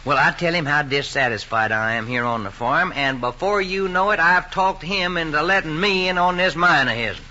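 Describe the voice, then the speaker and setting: Bright-voiced, eager Southern farmer father. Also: on the high side, moderate pace, hardly any pauses. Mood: eager, boastful. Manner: folksy, eager, coaxing talk. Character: gullible, hopeful farmer father chasing get-rich schemes. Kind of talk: old-time radio drama acting. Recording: dull, noisy old radio recording.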